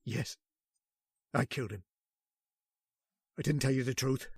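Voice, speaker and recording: Man doing a frantic guilty uncle, stammering, breathy voice, dry close sound, old-time radio play. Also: medium pitch, measured pace, dry recording, exaggerated voice. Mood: anguished confession. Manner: stammering, panicked pleading. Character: guilt-stricken older uncle, frantic murderer.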